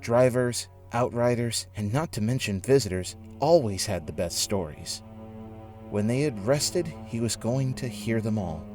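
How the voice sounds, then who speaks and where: A man narrating a scripted audio drama in a measured storytelling manner. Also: mid-range voice, animated, darkish tone. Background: music.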